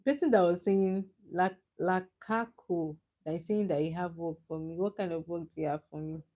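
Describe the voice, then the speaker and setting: A woman's voice: lowish voice, moderate pace, warm tone. A young woman with a Nigerian accent, hosting a casual live stream and chatting with viewers, teasing and laughing often. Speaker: livestream host.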